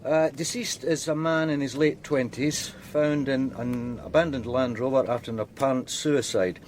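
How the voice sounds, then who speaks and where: An actor playing a dutiful police detective in a radio drama, giving an orderly, businesslike report. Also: male voice, medium pitch, fairly even intonation, moderate pace, a little mellow, slight background noise, lightly acted. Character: dutiful male police detective briefing his superior.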